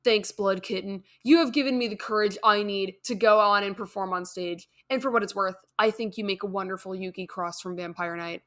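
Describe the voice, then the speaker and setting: A woman's voice: mid-range voice, fairly even intonation, fairly quick, hardly any pauses, a bit bright, close to an everyday voice. Woman doing a melodramatic, earnest emo rock guy. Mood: touched. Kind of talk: comedic storytelling dialogue.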